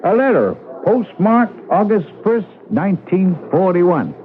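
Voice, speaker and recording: A thin old radio recording with faint noise carries a chatty, amused postal coworker. He is high-pitched and lively, in casual radio drama style. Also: measured pace, phone-quality sound. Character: chatty, amused male post office coworker. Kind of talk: 1970s radio drama acting.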